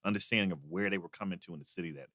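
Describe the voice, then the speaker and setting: A man hosting a podcast, asking his guest questions and cheering him on with quick agreement. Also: sits low, pushed and bright, no room sound, studio quality. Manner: eager, affirming, lots of quick agreement. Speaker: podcast host. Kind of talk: casual interview chat.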